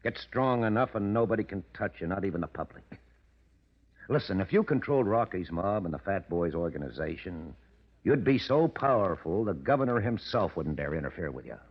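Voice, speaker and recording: An actor playing a sly, needling press agent who goads a gangster, in 1940s radio drama style. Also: male voice, low-pitched, lively intonation, brisk. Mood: sly, goading. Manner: insinuating, needling, smooth-talking schemer. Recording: dull vintage radio broadcast.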